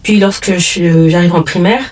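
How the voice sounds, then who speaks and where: A woman in her twenties from Cameroon, talking casually as an interview guest, lively with frequent fillers and laughs. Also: on the low side, normal speed. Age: 20s. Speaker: podcast guest, entrepreneur.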